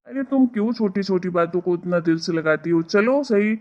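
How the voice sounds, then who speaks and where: A woman voicing a placating, joking husband and son-in-law in an animated family story. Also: on the low side, moderate pace, natural voice. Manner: coaxing, joking. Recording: slightly dull, lo-fi sound.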